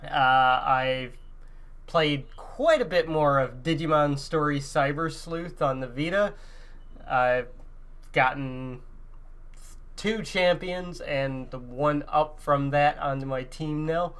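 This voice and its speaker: Casual male gaming podcaster, slightly high voice. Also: takes it slowly, fairly bright tone, smooth.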